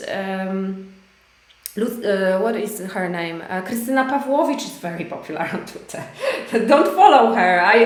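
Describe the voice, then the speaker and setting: A woman English teacher giving a live online lesson, hesitant with many fillers and restarts, her voice a little hoarse. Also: a little high, reverberant.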